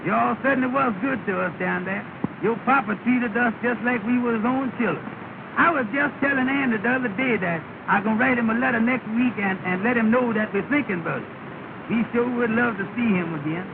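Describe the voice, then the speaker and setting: An actor playing an earnest, humble young taxi driver from Georgia who talks in an eager, fretful way, on a tinny old film soundtrack. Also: male voice, extremely high pitch, a little fast, vocal fry, noisy background. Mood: excited. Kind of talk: 1930 film comedy acting.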